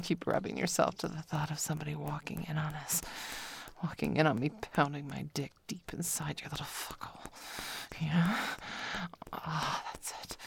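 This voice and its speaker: A woman playing a dominant, possessive lover in intimate adult roleplay audio, mostly in a breathy whisper with drawn-out sighs between short phrases. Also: deep voice, slight background noise, exaggerated voice. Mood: commanding, aroused.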